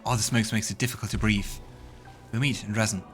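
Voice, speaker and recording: A man's voice: fairly low pitch, fairly even intonation, quick pace, music in the background, natural voice. A man voicing a courteous old elf storyteller in a fantasy game, polite and slightly formal. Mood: pleased, urgent.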